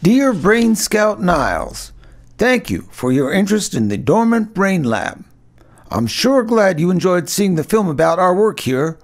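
Man acting as folksy mountain scientist, high voice, backwoods twang, earnest, eccentric, audiobook character. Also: very animated, unhurried, exaggerated voice. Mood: cordial, earnest.

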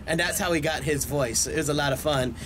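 A young man hosting a let's-play in excited, animated gaming commentary, recorded close up in a room. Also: a little high, fairly quick, background noise. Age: young adult. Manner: animated, whooping and hollering. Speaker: voice actor and let's-play host. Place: small room indoors. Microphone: headset on, desk microphone up close. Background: loud game music.

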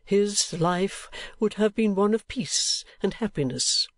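Storybook old gentleman, played by a man: high, slow, kindly, earnest and sentimental, emphatic and halting. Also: big pitch swings, no echo, full character voice. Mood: wistful, tender. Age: older. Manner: emphatic, repetitive, halting, drawn-out delivery.

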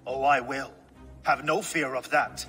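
An actor voicing a grizzled, skeptical older Viking jarl with a gruff, commanding manner in a video game. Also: male voice, mid-range voice, fairly even intonation, measured pace, bright, forceful tone. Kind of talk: video game cutscene acting. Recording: music behind the voice.

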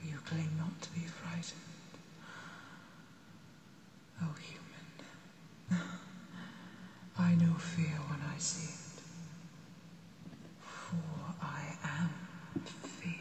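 Whispering, slow, imperious she-dragon acted by a woman. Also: low-pitched, level tone. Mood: knowing, menacing. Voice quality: breathy, mostly whispered. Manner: stately, deliberate, archaic phrasing. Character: ancient, imperious but gentle female dragon in her lair. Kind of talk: slow ASMR fantasy roleplay. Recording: echoey cavernous reverb, distant.

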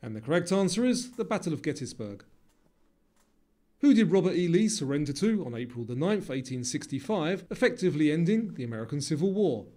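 A man delivering scripted quiz narration in a measured, formal reading manner. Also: on the high side, very animated, mellow. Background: music.

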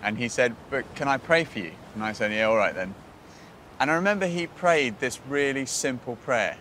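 Casting: British male presenter, thirties, earnest faith storytelling, measured phrases and pauses, music underneath. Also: mid-range voice, lively intonation, fairly bright tone, a little hiss or hum. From Britain. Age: 30s.

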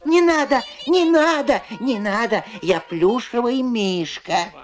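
A man voicing a small, excitable, pleading plush teddy bear in a dubbed puppet cartoon. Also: very high voice, slow pace, full character voice. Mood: frightened. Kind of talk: dubbed puppet animation dialogue. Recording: old film soundtrack with loud music.

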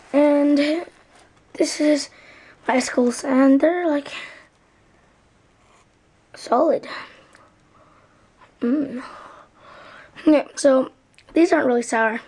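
A woman's voice: on the high side, husky. A young woman chatting casually and half-whispering while showing something off to a camera. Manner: soft, half-whispered, laid-back. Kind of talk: casual chat, showing off a gingerbread house.

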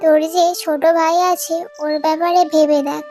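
A woman voicing an arrogant, greedy middle-aged stepmother in a cartoon, scolding loudly and sneering. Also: high voice, little pitch movement, moderate pace, soft background music. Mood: scornful. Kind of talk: cartoon moral-story voice acting.

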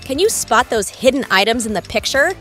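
A woman narrates a scripted quiz as its host. Her delivery is lively, with pitch that moves around a lot, and music plays clearly behind her. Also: fairly quick.

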